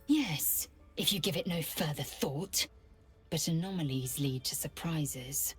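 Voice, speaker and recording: A woman playing a stern, haughty alien warrior in a fantasy video game, speaking in a clipped, disdainful, commanding way with a husky rasp. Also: low-pitched, measured pace, faint music behind. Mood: grim, stern. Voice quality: husky, raspy.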